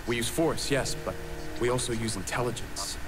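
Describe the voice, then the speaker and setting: An actor playing a composed young rebel leader in a video game, speaking in a measured, earnest, formal way. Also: male voice, medium pitch, lightly acted. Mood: solemn, persuasive. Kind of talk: video game cutscene acting. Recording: noisy ambience, commentator talking over it.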